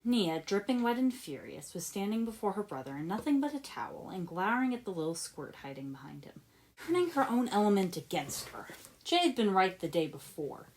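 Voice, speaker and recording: A woman reading fan fiction aloud as an expressive story narrator. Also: medium pitch, brisk, poor audio. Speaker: podfic reader.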